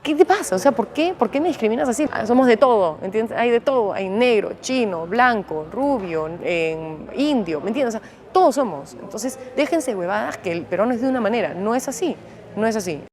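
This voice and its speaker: A Peruvian woman with a Peruvian Spanish accent, giving an expressive, emphatic personal testimony. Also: on the high side, fairly quick. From Peru. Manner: expressive, emphatic, fond of "o sea". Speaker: interviewee. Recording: crowd noise behind.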